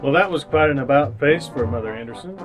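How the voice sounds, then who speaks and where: A man narrating and pitching a sponsor as an old-time radio announcer, in a polished, folksy scripted style. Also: animated, fast talker, music in the background, natural voice.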